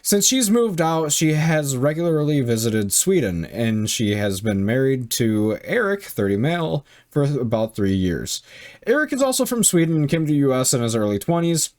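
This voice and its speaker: A man's voice: medium pitch, sing-song, normal speed, a little mellow. A young man reading online stories aloud and reacting bluntly, with frequent swearing. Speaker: reaction video host.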